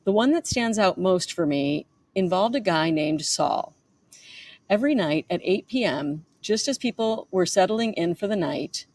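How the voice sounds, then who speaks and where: A woman's voice: on the low side, darkish tone. A woman author reading aloud from her own memoir in a narrating style.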